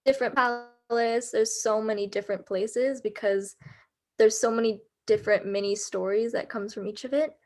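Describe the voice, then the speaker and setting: A woman's voice: fairly high pitch, fairly even intonation, normal speed, darkish tone. A woman in her late teens with an American accent, a student playwright talking casually and enthusiastically about her script. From United States. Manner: enthusiastic, explanatory, with fillers like "like". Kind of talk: casual discussion on a video call.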